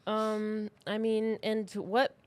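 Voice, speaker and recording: A woman's voice: mid-range voice, completely flat delivery, drawn-out words, no echo. A woman co-hosting a podcast in casual conversation, talking in an informal, wry, joking way. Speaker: podcast co-host. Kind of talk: casual chat. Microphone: close podcast microphone.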